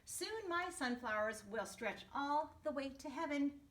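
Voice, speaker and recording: Woman reading as an eager high-voiced farm boy, echoey. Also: unhurried, slight background noise. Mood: proud. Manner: excited, calling out. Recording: echoey room, distant microphone.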